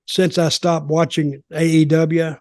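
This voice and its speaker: Older man, higher-pitched voice, dry close sound, rambling, opinionated, casual podcast commentary. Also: level tone, measured pace, a little mellow, no room sound. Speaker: wrestling commentator and podcast guest. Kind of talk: casual wrestling discussion.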